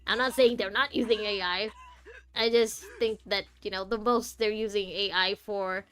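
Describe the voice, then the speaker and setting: A woman's voice: medium pitch, fairly even intonation, moderate pace, fairly bright tone. Wry woman streamer over game music. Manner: wry, opinionated, hesitant thinking aloud. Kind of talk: casual live playthrough commentary. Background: game dialogue and music.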